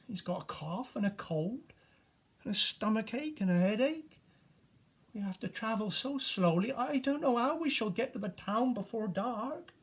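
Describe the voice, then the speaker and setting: Man putting on a fretful, high-pitched tinker's wife. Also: expressive, moderate pace, slightly rough, full character voice. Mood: fretful, worried. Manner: fretful, listing troubles. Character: worried, complaining wife of a travelling tinker.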